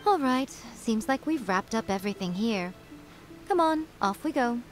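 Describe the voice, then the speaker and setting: A woman's voice: a little high, lively intonation, moderate pace, music in the background, lightly acted. A woman voicing a sly, wise fox-spirit shrine priestess in a video game, composed and lightly teasing as she lectures.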